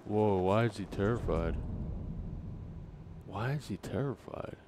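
A man's voice: lowish voice, animated, measured pace. A man giving commentary as he plays a video game, hosting a let's play. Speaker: gaming video host. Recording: noisy, game sounds behind voice.

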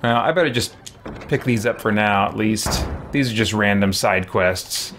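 A man in his thirties giving casual, unhurried game commentary, musing aloud and often hesitating. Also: lowish voice, fairly bright tone, a little hiss or hum. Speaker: streamer playing a role-playing game. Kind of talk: casual let's play commentary. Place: small indoor room with shelves, at a desk.

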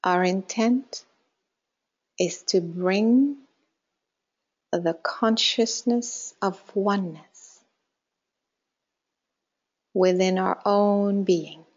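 A woman guides a meditation at an extremely unhurried pace. Her delivery is calm and measured, in a mid-range voice, with phrases that settle softly at the end. The recording sounds a little muffled. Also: medium pitch. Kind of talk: guided meditation, slow spoken instructions with long pauses.

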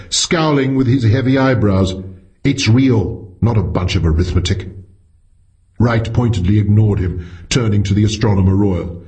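Expressive male audiobook narrator. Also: mid-range voice. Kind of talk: scripted story narration.

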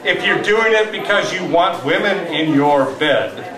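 An older man in his sixties gives a live poetry reading, with deliberate dramatic pauses and wry asides. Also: fairly high pitch, expressive, crowd noise. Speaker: poetry reader at an open mic. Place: small tavern stage indoors. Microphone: stand microphone, captured from far camera. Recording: roomy live tavern, distant mic, audience noise.

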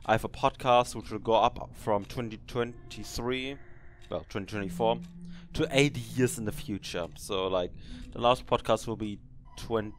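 A man's voice: fairly low pitch, normal speed, a little hiss or hum. A man in his twenties hosting a casual podcast, rambling and correcting himself as he reacts to an old recording of himself. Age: 20s. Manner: rambling, self-correcting, teasing his past self. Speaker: podcast host.

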